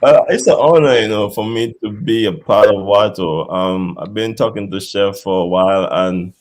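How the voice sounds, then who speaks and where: Dominican man, low voice, relaxed chef guest. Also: normal speed, rough recording. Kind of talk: interview answers.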